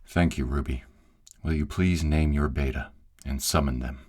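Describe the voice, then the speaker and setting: A man's voice: rumbling low, moderate pace, darkish tone. A man playing a formal, authoritative shifter clan director who officiates a ceremony in an audio roleplay. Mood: formal, commanding.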